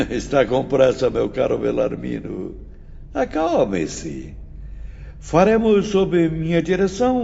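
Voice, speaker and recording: Casting: a man playing a stern, learned spirit teacher for audiobook drama; grave, oratorical, mellow and very expressive, in a long echo. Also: medium pitch, gravelly, slight background noise, full character voice. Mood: amused, reassuring. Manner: solemn, oratorical, emphatic, measured. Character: stern, learned male spirit teacher lecturing his pupils. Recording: muffled, long reverb, music behind.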